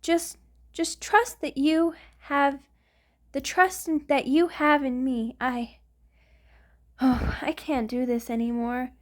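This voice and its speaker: A woman's voice: high voice, fairly even intonation, slow. A woman voicing a centuries-old vampire lover in an intimate ASMR roleplay, doting and anxious, with a hesitant, sighing delivery. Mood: nervous, confessing. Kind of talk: ASMR romantic roleplay monologue.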